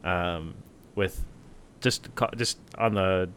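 A man with a very low voice talks through software plans in a loose, relaxed podcast chat. He fills his sentences with "uh" and often restarts them. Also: takes it slowly, slight background noise. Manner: relaxed, many uh fillers and restarts. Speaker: podcast co-host, software team member. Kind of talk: casual tech podcast chat.

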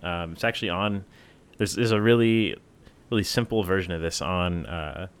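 A man co-hosting a casual tech podcast, talking in a relaxed way with many fillers and restarts. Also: deep voice, normal speed, clear, resonant tone. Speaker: podcast co-host, software team member.

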